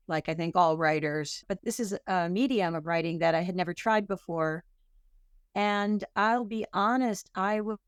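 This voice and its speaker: Woman guest, slow and measured, smooth clear tone, thoughtful with restarts. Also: lowish voice, no room sound, clean studio sound. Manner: thoughtful, pauses and restarts mid-sentence. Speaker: guest author. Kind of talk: casual interview talk.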